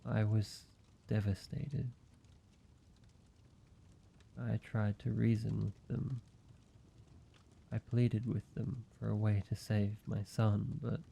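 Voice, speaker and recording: A man voicing an ancient vampire in an intimate roleplay audio, speaking in a measured, halting, solemn way, like a weary father telling his past. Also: fairly low pitch, level tone, creaky, warm tone, slight background noise. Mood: somber, regretful.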